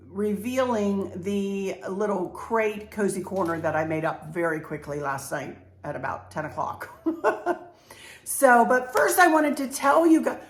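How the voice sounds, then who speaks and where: An older woman, chatting bubbly and congested as a home decor vlogger talking straight to her viewers. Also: medium pitch. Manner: bubbly, talks straight to viewers, warm fillers. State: congested, clearing throat. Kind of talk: casual chatty vlog. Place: home interior room. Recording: echoey room.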